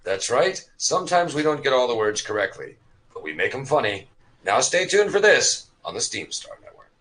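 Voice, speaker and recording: A man's voice: medium pitch, sing-song, normal speed, fairly bright tone. A man announcing a comedy show in a mock-grand style with joking asides.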